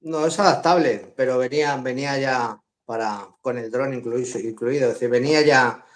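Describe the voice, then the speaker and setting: A man's voice: mid-range voice, moderate pace. A middle-aged man from Madrid with a Spanish accent, chatting casually as a guest on a live video call and laughing often. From Madrid, Spain. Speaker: guest drone hobbyist. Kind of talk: casual live-stream interview.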